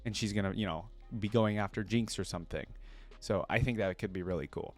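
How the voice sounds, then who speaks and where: A man's voice: fairly low pitch, fairly quick, soft background music. A man hosting a reaction video, giving informal, enthusiastic fan commentary in a casual chatty style. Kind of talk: casual fan commentary alternating with story read-aloud.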